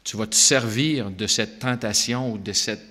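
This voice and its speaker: A man's voice: lowish voice, unhurried, pushed and bright. Earnest man in a reverberant hall. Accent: Québécois French. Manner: earnest, conversational, with frequent fillers. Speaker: Bible school leader. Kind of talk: answering questions at a church gathering.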